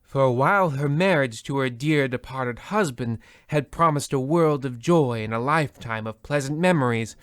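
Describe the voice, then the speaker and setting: A man playing a pompous, bumbling horror radio show host, narrating in a deliberate, dramatic, mock-ominous way. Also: mid-range voice, fairly bright tone, no echo, clean studio sound, natural voice.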